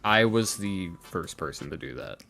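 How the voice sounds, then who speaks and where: A man's voice: low voice, lively intonation, normal speed, gravelly. A man in a casual podcast chat as an interview guest, talking in a rambling, self-deprecating, sardonic way. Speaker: interview guest, ASMR roleplay creator. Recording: music playing behind the talk. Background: background music with guitar.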